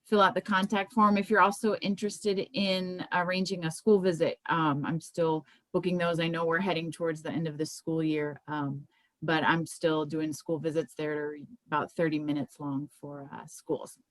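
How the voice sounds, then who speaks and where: A woman's voice: low voice, level tone, normal speed, no echo. A middle-aged American woman speaking in a conversational, informal way, as an author presenting her books. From Miami, United States.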